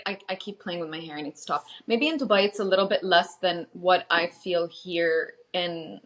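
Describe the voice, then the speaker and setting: A woman co-hosting a casual podcast from home over a video call, with a light American-tinged accent, chatty and quick to laugh. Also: on the low side, a bit bright. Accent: light American-tinged English. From Lebanon. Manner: chatty, fillers and restarts, laughs often. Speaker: podcast co-host. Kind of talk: casual chat.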